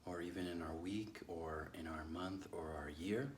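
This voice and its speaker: A man leading a guided meditation in a measured, unhurried way with long pauses between phrases. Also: low-pitched, steady tone, roomy sound, slight background noise. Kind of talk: guided meditation, slow instruction.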